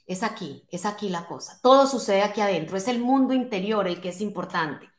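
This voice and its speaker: A woman in her late forties speaks as a motivational coach to an online audience. Her voice sits at a middle pitch and she is emphatic and direct, often checking in with short questions. The recording is echoey, made at a desk in a small home office. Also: lively intonation, fairly quick. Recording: roomy, echoing indoor sound.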